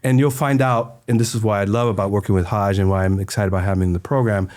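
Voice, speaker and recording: A man's voice: lowish voice, steady tone, fast, darkish tone. A man co-hosting a podcast, talking casually with frequent fillers. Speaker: podcast co-host. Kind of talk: interview conversation.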